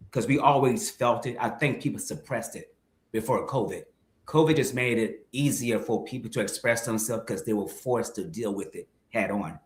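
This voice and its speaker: A man's voice: on the low side, fairly bright tone. A man in his fifties, a guest in a conversational interview, reflecting candidly and speaking directly to viewers. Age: 50s. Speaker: guest, mukbang creator. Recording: roomy echo.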